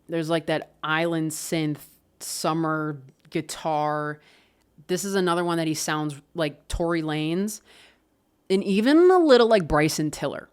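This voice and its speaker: A woman casually talking through an album review, loose and expressive, swearing and laughing often. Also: low-pitched, smooth. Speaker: music reviewer.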